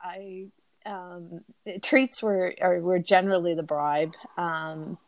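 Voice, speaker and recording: Woman, middle-aged, interview guest, low voice, dry and close, loose chatty delivery. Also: steady tone, slow pace, darkish tone, dry recording. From Cape Breton, Canada. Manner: rambling, many fillers and restarts. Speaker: podcast guest. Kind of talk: casual interview talk.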